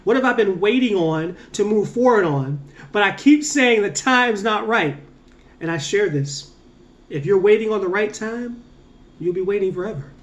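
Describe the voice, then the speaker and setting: A man with a fairly high voice gives a casual motivational talk as a mindset coach. He is earnest and emphatic, lifting his voice on key points and drawing out the ends of phrases to drive them home. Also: muffled recording. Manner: earnest, repetitive, emphatic with drawn-out slow endings; energetic, rising on key points.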